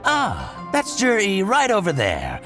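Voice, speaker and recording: A shrewd haggling merchant. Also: male voice, high voice, huge pitch swings, slow, sharp tone, exaggerated voice. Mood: scheming. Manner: haggling, scheming, talkative. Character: shrewd adult male boutique merchant. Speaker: merchant character. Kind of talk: video game voice acting. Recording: game music bed under the voice.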